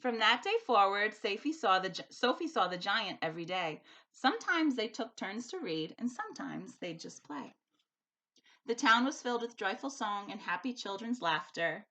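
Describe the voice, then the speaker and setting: A woman's voice: medium pitch, normal speed. A woman teacher speaking expressively and emphatically, as in a storybook read-aloud for a school lesson. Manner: animated, emphatic.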